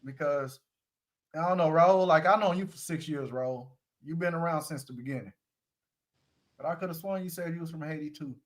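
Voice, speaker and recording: A man hosting a casual live-stream chat, talking in slangy, teasing street speech and pressing his guest with questions. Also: on the high side. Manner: teasing, slangy, quick to call people out.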